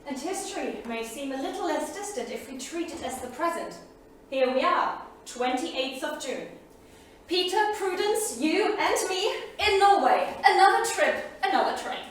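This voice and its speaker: An actress playing an earnest narrator who guides a historical drama in a theatrical staged reading. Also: female voice, high voice, very animated, moderate pace. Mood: inviting. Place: small studio theatre, live staged reading. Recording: very echoey theatre, distant mic, faint noise.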